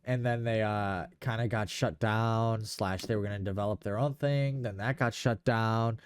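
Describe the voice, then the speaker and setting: A man's voice: on the low side, fairly even intonation, normal speed, smooth, no room sound, clean studio sound. A young man in casual gaming chat, speaking in a slangy, enthusiastic way. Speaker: podcast host.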